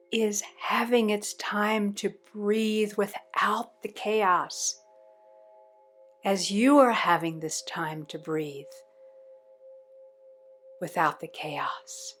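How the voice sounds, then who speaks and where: A woman leading a guided meditation in measured, short phrases with pauses between them. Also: medium pitch, fairly bright tone, faint music behind.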